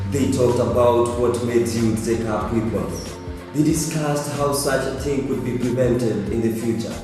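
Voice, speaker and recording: A man's voice: mid-range voice, darkish tone. Male reporter in a very echoey hall. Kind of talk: scripted news feature narration. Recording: echoey hall reverb, music behind. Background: music.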